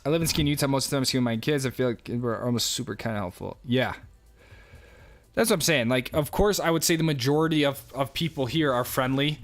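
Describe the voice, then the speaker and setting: Man, New York accent, young adult, casual livestream chat, relaxed, rambling, mid-pitched voice. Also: fast talker, soft background music. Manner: relaxed, rambling, lots of fillers. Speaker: livestream host answering chat questions.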